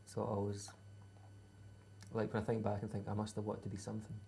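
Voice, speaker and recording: A man's voice: lowish voice, monotone, fast talker, creaky, mellow, slight background noise. A Scottish man in his thirties, a musician answering interview questions in a laid-back, hesitant way with dry humour. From Scotland. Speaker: musician guest.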